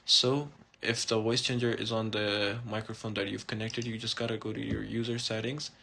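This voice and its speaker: A man narrating a casual, step-by-step software tutorial in an informal, instructional way. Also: lowish voice, little pitch movement, normal speed, gravelly, slight background noise. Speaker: tutorial host.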